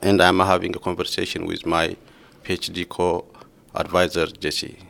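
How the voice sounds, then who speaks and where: A man in his thirties from Ethiopia, soft-spoken and laughing often as he talks with his former co-advisor. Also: low-pitched, completely flat delivery, normal speed, creaky, no echo. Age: 30s. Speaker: former PhD student, interviewee. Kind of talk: casual conversation.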